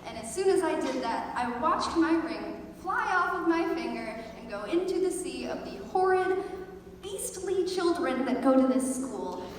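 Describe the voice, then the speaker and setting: Teen female theater student, high register, overstated comic storytelling, reverberant hall with faint noise. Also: lively intonation, moderate pace, far from the mic. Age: teenager. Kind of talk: scripted personal storytelling monologue on stage. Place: large echoey indoor performance space. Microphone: distant camera microphone.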